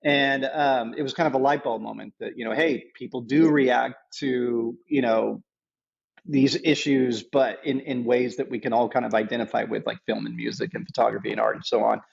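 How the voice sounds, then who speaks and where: A middle-aged man with a husky, creaky voice tells his story as an interview guest. He goes haltingly, doubling back on phrases, and the recording is a little dull. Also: medium pitch, steady tone. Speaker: podcast guest, nonprofit founder.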